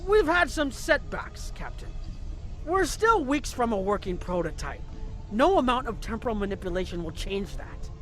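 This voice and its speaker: Harried alien captain, high and swooping, blunt, exasperated game character over music. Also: male voice, big pitch swings, normal speed, fairly bright tone. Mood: frustrated. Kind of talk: video game voice acting. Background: background music.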